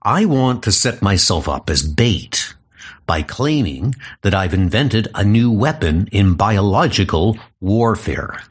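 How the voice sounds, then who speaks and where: A man's voice: on the low side, very animated, slow, husky, heavily acted. A man playing a frail, lame doctor in a comic audio drama, earnest and self-doubting.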